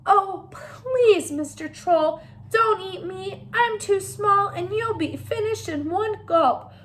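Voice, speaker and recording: A woman's voice: very high-pitched, slow pace, a bit bright, a little hiss or hum, voice pushed up, heavily acted. A woman voicing a small, timid young male goat in a children's storybook, meek and pleading. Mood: frightened pleading.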